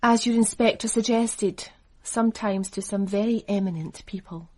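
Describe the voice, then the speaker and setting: A woman's voice: medium pitch, normal speed, a little mellow, close to an everyday voice. An actress playing a cool, calculating businesswoman in a radio drama, guarded and seductive.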